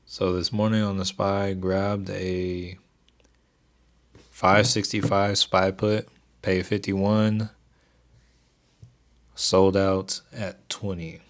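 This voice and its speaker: Young male streamer, very deep and soothing, even delivery, laid-back chat. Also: very slow, long pauses. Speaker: stock trading livestream host. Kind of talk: casual livestream chat with viewers.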